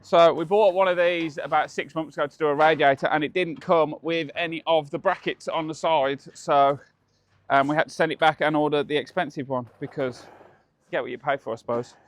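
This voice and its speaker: Host, Birmingham accent, slightly raised pitch, relaxed running commentary while working. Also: male voice, brisk. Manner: chatty, laughing, explaining while working. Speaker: channel host. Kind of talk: casual workshop chat. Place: truck workshop.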